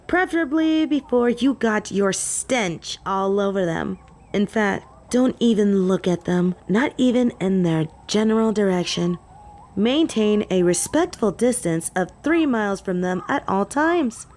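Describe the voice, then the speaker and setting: A woman's voice: expressive, a little slow, a little mellow, lightly acted. A woman voicing a haughty, jealous female vampire in a roleplay audio drama, snippy, indignant and easily flustered. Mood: possessive, haughty. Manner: snippy, indignant, higher-pitched.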